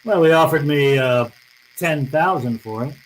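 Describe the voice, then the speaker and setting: An actor playing a wry, tough, unflappable private detective, laconic and sardonic, in old-time radio style. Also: male voice, fairly high pitch, normal speed, lightly acted, low-quality recording. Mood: casual, probing. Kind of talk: old-time radio drama acting and first-person narration.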